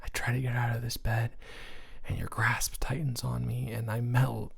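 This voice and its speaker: A man's voice: mid-range voice, normal speed, creaky, husky, full character voice. A young man playing a friendly, slightly awkward college student in a roleplay audio, talking casually and hesitantly to the listener as a dormmate. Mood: tender, sleepy. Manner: rambling, animated, hesitant casual speech.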